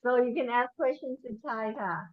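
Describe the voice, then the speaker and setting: A woman hosting a webinar, asking questions and inviting the audience in a halting, repetitive way. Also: a little high, no room sound. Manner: halting, repeats words. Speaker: webinar host. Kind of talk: webinar moderation. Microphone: video call. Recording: muffled video call audio.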